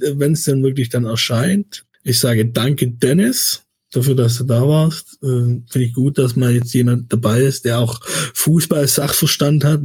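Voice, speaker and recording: A man's voice: medium pitch, dark tone, breathy edge, no echo. A man with a light Swabian accent hosting a podcast in a rambling, chatty way with many hesitations. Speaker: podcast host. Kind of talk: casual chat.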